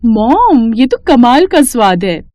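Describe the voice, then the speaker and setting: A woman's voice: fairly high pitch, sing-song, takes it slowly, dark tone, no echo. A woman voicing a sensible, down-to-earth seventeen-year-old city girl with a frank, pleading manner in a cartoon story. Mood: delighted, friendly.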